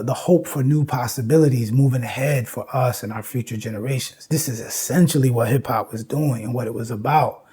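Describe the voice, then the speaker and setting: A man's voice: medium pitch, dark tone, slightly rough. An American man in his fifties giving informal educational commentary, emphatic and repeating phrases to drive points home. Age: 50s. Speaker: video essay host.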